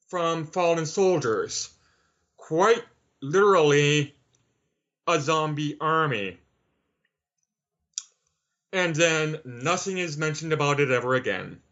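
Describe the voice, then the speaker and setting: A man answering as an interview guest, measured and wry in his manner. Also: a little high, fairly bright tone, lo-fi sound. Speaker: author, podcast guest.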